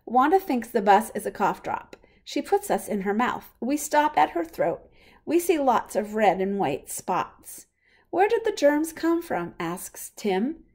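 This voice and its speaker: Female storybook reader, mid-range voice, expressive delivery aimed at little kids. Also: medium pitch. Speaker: reader of a children's picture book read-aloud. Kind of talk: children's storybook read-aloud.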